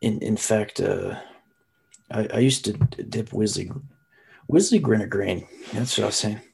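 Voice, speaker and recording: Young podcast guy, gravelly low raspy voice, slouchy drawl. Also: a little slow, darkish tone. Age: young adult. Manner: gravelly hunched-over drawl he uses only when recording. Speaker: podcast co-host. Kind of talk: casual chat.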